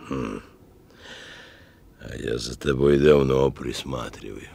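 An actor playing a wary, well-fed fugitive criminal in a radio play, talking slyly and tersely. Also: male voice, very deep voice, gravelly, dark tone, slightly rough, heavily acted. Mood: sly, watchful. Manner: sly, unhurried, terse underworld talk.

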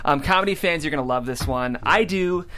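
A man's voice: fairly high pitch, fairly quick, bright, forceful tone. A man, hosting and narrating a script read in an upbeat way.

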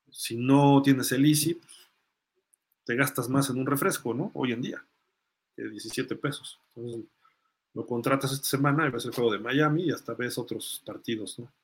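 A man's voice: mid-range voice, normal speed. Man casually talking sports on a podcast. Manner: conversational, thinking aloud, reading comments out. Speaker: host of a live sports fan podcast. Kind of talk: casual sports commentary, answering listener comments.

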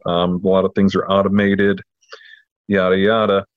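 Low-voiced man, dull dry recording. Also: monotone, no room sound. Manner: halting, filler-heavy. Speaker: podcast co-host. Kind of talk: casual chat, informal book recommendation.

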